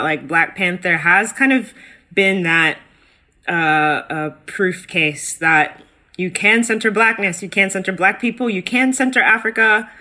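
A woman co-hosts a podcast in easy, animated conversation, full of ums and likes. Her voice has a bright, crisp edge. It leaps upward in pitch when she gets excited. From United States. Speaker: guest co-host.

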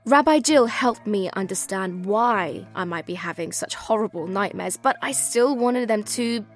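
A woman's voice: mid-range voice, expressive, normal speed, soft background music. A woman hosting a podcast, giving candid and wry scripted documentary narration.

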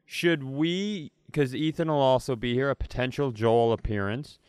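A man co-hosting a podcast, chatting casually in an eager, animated way and steering the talk. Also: mid-range voice, moderate pace, smooth, no echo. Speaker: podcast co-host.

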